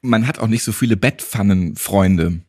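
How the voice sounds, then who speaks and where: A man's voice: lowish voice, moderate pace, warm tone, breathy edge. A man hosting a casual conversation, asking questions and chatting informally. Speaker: podcast host, interviewer. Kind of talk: casual chat.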